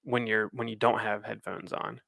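Podcast co-host, male, relaxed and agreeable, slight fry, dry close mic. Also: fairly low pitch, normal speed, no room sound. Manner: easygoing, agreeable, laughs often. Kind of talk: casual chat over beers.

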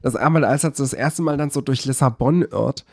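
A man's voice: mid-range voice, moderate pace, gravelly, darkish tone, no echo. Rambling man on a podcast, dry sound. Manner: rambling, many fillers and self-corrections. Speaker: podcast co-host. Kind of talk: casual chat.

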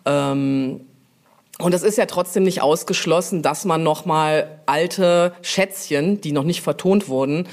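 A woman's voice: low-pitched, unhurried, darkish tone. A woman chatting casually as an interview guest, explaining her work in a wandering, informal way. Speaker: interview guest, publishing brand manager.

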